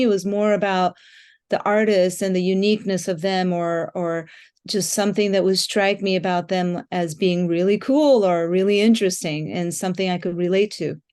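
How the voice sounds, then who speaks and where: A woman's voice: mid-range voice, darkish tone, clean tone. A woman musician answering interview questions in a frank, thoughtful way, with plenty of fillers. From Japan and the United States.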